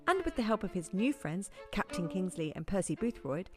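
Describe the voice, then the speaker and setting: Dramatic radio-play announcer voiced by a woman, lively varied intonation, prominent music underneath, scripted recap. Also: mid-range voice, fairly quick, close to an everyday voice. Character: announcer-style story narrator, dramatic. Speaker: narrator. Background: loud music.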